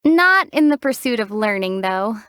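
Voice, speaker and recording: A calm young traveler heroine. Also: female voice, a little high, normal speed, clear, resonant tone, no echo. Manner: calm, gently wry replies. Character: calm young traveler heroine, level-headed and gently wry. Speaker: game protagonist. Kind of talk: video game character dialogue. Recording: dry, close studio voice.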